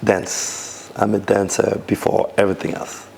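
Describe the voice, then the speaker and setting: Man, low voice, gravelly, rambling restarts, casual interview. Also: completely flat delivery, a little slow, darkish tone, a little hiss or hum. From Senegal, raised in France. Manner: loose, searching phrasing with many restarts. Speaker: dancer, DJ and event founder.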